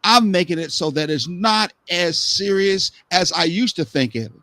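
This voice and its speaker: A man's voice: high-pitched, lively intonation, normal speed, pushed and bright, dry recording. A man hosting a live-stream talk show, speaking earnestly and rambling with repeated words. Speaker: host.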